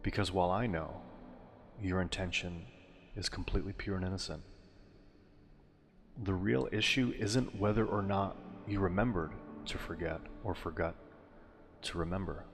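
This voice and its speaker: Male meditation narrator, very low register, calming and unhurried, pausing between phrases, drenched in long reverb. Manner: soothing, unhurried, deliberate phrasing with pauses. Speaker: hypnosis and meditation guide. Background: music.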